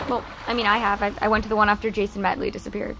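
A woman's voice: mid-range voice, flat delivery, racing, creaky, background noise, natural voice. A woman with an American accent playing a blunt, exasperated teenage girl in a naturalistic audio drama. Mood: defensive.

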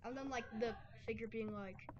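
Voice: medium pitch, fairly even intonation, moderate pace, dull audio. A young gamer giving casual, rambling gameplay commentary full of slang and filler "like", recorded at home. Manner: rambling, slangy, uses "like" constantly. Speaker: gaming video creator. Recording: heavy noise, clicks.

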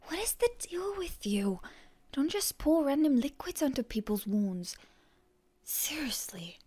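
A woman playing a proud, stubborn young heroine in an ASMR roleplay, in a breathy, often whispery voice with indignant bluster. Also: high voice, sing-song, dark tone. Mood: indignant, defiant. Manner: indignant, halting, tsundere bluster. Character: proud, stubborn wounded young heroine, defiant toward her enemy.

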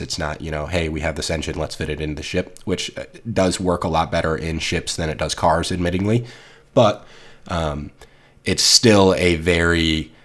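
An American man with a stuffy, slightly nasal voice from a head cold, rambling casually over a game build. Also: sits low. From United States. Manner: rambling, chatty, many ums and restarts. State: recovering from a head cold, congested and a little nasal. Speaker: game building channel host.

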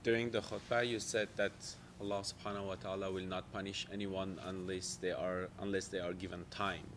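A man's voice: low voice, level tone, brisk, background noise. A man in the audience asking a long question, hesitant and thinking aloud. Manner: hesitant, many fillers.

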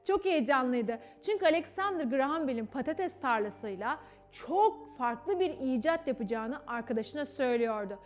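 A woman's voice: high voice, animated, normal speed. A young woman narrating a children's story in a theatrical, emphatic way, speaking directly to her young listeners. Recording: music behind the voice. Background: background music.

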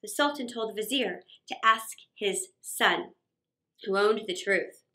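A middle-aged woman reading a story aloud for children, expressive, with theatrical pauses and emphasis. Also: medium pitch. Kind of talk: dramatic read-aloud story narration for children. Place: small indoor set.

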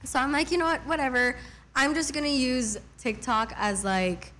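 A young woman in her twenties, a singer talking casually as an interview guest, relaxed and chatty with many fillers. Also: sits high, moderate pace, a little hiss or hum. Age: 20s. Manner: relaxed, chatty, lots of "like" and "mm-hmm".

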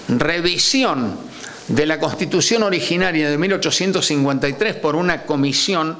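Older argentine guy lecturing at a panel table, kinda high voice, drags out words for emphasis, table mic. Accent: Argentine Spanish. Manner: animated, stretches key words slowly for emphasis, asks rhetorical questions. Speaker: lecturer on constitutional history. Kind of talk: academic lecture. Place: conference room at a panel table. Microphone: table gooseneck microphone.

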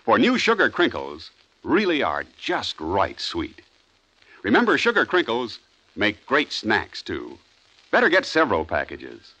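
A low-pitched American announcer delivering a 1950s radio commercial. His pitch swings widely and the tone is bright and forceful, a chummy, emphatic salesman. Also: huge pitch swings, measured pace, rough recording.

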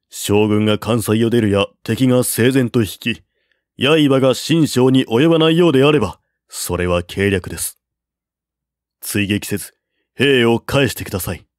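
A man voicing a calm, shrewd male strategist who counsels in a measured, persuading way, in a storybook reading. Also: medium pitch, dry recording, clean studio sound, close to an everyday voice.

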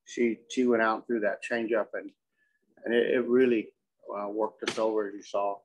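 A middle-aged man, a coach answering questions at a postgame press conference in a measured, halting way, often repeating words. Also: fairly low pitch, little pitch movement, poor audio. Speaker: softball coach. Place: indoor press conference room with curtain backdrop.

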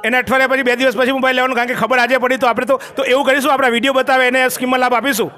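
A man's voice: very high-pitched, little pitch movement, very fast, sharp tone. A middle-aged man, reporting on a shop opening in a chatty, salesy manner into a handheld mic inside a crowded store. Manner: chatty, salesy, jokes with viewers. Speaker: local news reporter. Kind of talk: on-the-spot promotional report. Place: crowded mobile shop, indoors.

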